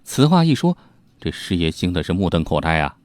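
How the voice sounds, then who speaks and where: A man narrates an old crime story like a folk storyteller. His voice sits low and moves around with great animation, and he chats to the listener in asides. The sound is dry. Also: big pitch swings, a little fast, darkish tone, no room sound. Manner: conversational, with spoken asides and filler particles. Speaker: storyteller host.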